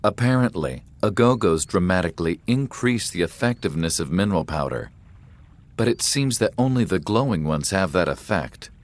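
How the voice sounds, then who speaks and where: A man's voice: sits low, lively intonation, moderate pace. A man voicing a stern, commanding rebel leader in a video game, serious and authoritative.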